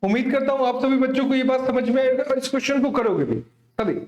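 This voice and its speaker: A very high-voiced man works through problems like a coaching teacher. He speaks straight to his students and keeps adding little check questions. The sound is clearly echoey, as in a bare room. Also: fast, a little mellow. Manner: repetitive tag questions, addressing students directly. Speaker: maths teacher. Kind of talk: exam coaching lesson, explaining worked problems.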